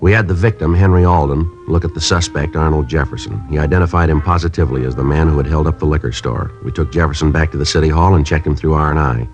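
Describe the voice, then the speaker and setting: A terse, deep-voiced police sergeant. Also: male voice, fast, few pauses. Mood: flat. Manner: clipped, matter-of-fact police report delivery. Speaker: narrator and detective. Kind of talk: 1950s radio drama acting. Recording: dull old radio broadcast with music.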